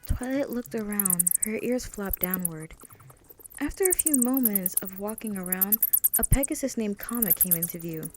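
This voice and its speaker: A woman's voice: mid-range voice, animated, moderate pace, dark tone, slight background noise. A woman reading out her own fan fiction as a scripted storybook narration.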